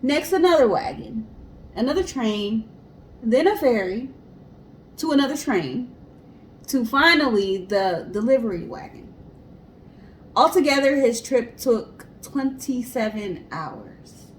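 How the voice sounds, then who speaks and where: A woman co-hosting in an expressive, chatty way, telling stories casually. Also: on the high side, takes it slowly, long pauses, slight background noise. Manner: chatty, expressive, acts out imagined remarks. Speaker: co-host. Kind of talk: casual chat and informal storytelling.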